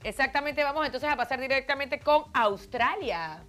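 A woman's voice: high voice, animated, moderate pace, fairly bright tone, faint music behind. A middle-aged woman co-hosting a playful, casual chat from a small studio set, reading from notes into a handheld mic. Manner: chatty, playful, reads from notes. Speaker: co-host.

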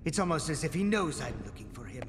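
A man's voice: a little high, sing-song, quick pace. An actor playing a self-important, impatient man in a video game, theatrical and fretful. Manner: theatrical, muttering to himself. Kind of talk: video game cutscene acting. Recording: reverberant hall, faint ambient noise.

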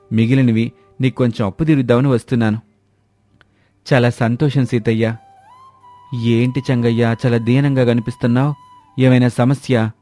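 Humble village man for an animated moral story, played by a male actor: mellow, earnest, plain-spoken, over soft music. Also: mid-range voice, natural voice. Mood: friendly. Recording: music under the voice.

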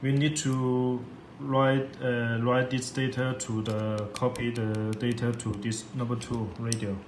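A man giving an unscripted tutorial in halting, repetitive, simple English. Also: medium pitch, flat delivery. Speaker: product demonstrator. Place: indoor room at a computer desk. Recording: echoey room with background noise.

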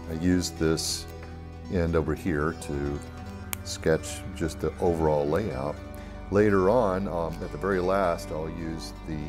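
Slow, deep-voiced man explaining painting. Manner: easygoing, unhurried, many ums and uhs. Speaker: art tutorial host. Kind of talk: relaxed step-by-step painting demonstration. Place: at a table, painting from overhead camera view.

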